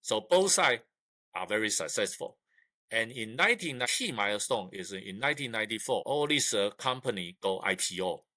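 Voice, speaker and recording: Older guy on a video call, lively up-and-down pitch, bright dry sound, keeps restarting. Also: dry recording. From Taiwan. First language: Mandarin. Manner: halting, repeats words, many uh fillers. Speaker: guest, retired engineer. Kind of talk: casual interview. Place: indoor room.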